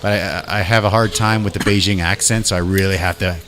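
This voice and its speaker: Deep-voiced man in casual bro-ish chat. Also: fairly even intonation, quick pace, over music. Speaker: podcast host.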